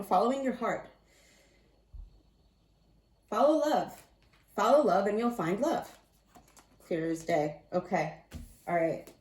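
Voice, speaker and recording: A woman with a slightly raspy voice giving a casual tarot card reading, chatty and often thinking aloud as she corrects herself. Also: mid-range voice, a little mellow, roomy sound.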